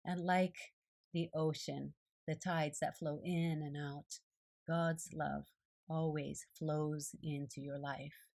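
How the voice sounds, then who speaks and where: A middle-aged woman, a native Japanese speaker from Japan, giving a calm, gentle devotional voice-over as she makes an art journal. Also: deep voice, slow pace, creaky. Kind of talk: reflective devotional voice-over while crafting. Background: faint guitar music.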